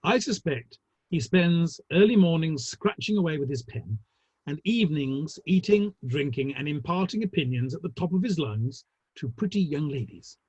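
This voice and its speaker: Muffled online audio of a man acting a worldly Victorian gentleman in a dramatic reading. The voice is fairly high and swings widely in pitch. The delivery is arch, formal and drily witty, relishing the gossip. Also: lively intonation, normal speed, husky, no room sound. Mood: gossipy, arch. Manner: arch, dry wit, formal phrasing.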